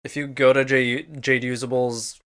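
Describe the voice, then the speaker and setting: A man's voice: mid-range voice, level tone, normal speed, a bit bright, smooth. A man co-hosting a podcast, chatting casually and informally, sometimes swearing. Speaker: podcast co-host. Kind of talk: casual chat.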